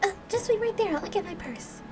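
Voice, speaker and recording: Woman doing shy earnest girl from a visual novel, high n sweet, hesitant, music behind. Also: animated, a little fast. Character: timid, earnest young girl heroine, apologetic and naive.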